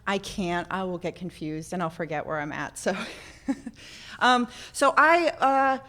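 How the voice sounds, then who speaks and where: A woman's voice: on the low side, a little slow. A woman from central Florida, born in Norway, sharing a personal story informally with a group in a candid, self-deprecating way. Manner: candid, self-deprecating, animated when acting out moments. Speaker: speaker sharing a personal addiction recovery story.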